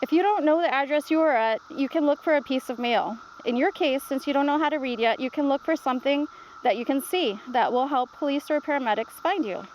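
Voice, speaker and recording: A patient storybook grandmother, read aloud by a woman, reassuring and instructive. Her voice sits high, with faint noise behind it. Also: a little fast, lo-fi sound, close to an everyday voice.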